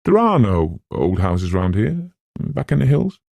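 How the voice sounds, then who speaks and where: A man's voice: lowish voice, sing-song, measured pace, creaky, a little mellow, no room sound, voice pushed up, exaggerated voice. A man voicing an anxious, chatty woman from the city in a novel, with tentative, polite manners. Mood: careful probing.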